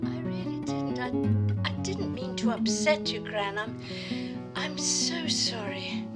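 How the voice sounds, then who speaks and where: A woman's voice: a little high, slow pace, loud music behind the voice. A woman playing an earnest, naive young activist granddaughter in an audio drama, stammering and hesitating in her eagerness. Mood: apologetic.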